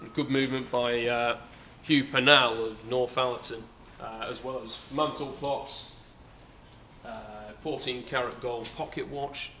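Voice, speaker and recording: A man's voice: medium pitch, steady tone, unhurried, sharp tone, slight background noise. A young man, an auctioneer giving a casual, hesitant walkaround preview of his sale in a saleroom, recorded on a camera mic. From England. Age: young adult. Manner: chatty, hesitant with many fillers. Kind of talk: informal walkaround sales preview. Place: large indoor auction saleroom.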